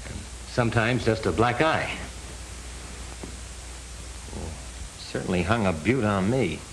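An actor playing a polite, guarded young American chauffeur in a 1930s film, with a hissy soundtrack. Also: male voice, fairly low pitch, expressive, takes it slowly, fairly bright tone, exaggerated voice, poor audio. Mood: earnest, wry. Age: young adult.

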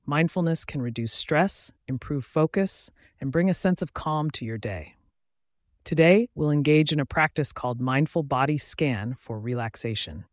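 A man with a fairly high voice leads a guided meditation in a calm, unhurried way, pausing between phrases. He is close to the mic in a dry room. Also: dry recording. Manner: slow, soothing, even delivery with pauses.

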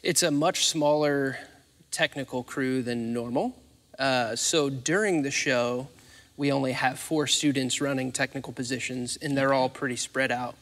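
American man, thirties, mid-pitched, matter-of-fact interviewee, long hall reverb. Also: steady tone, a little slow, a bit bright. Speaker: theatre facilities manager, interviewee. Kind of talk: conversational explanation in an interview. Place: large theatre stage, indoors. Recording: reverberant empty theatre stage.

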